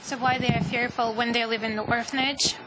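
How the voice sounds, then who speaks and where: A woman's voice: a little high, flat delivery, fairly quick, heavy background noise. A woman on a panel, fluently explaining her answer to an audience question. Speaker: panel speaker on orphan education.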